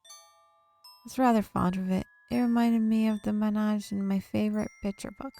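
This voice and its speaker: A woman's voice: mid-range voice, fairly even intonation, moderate pace, gravelly, mellow. A woman streaming a visual novel, reading its narration aloud expressively and sometimes correcting herself mid-sentence. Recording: background music under the voice. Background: game music.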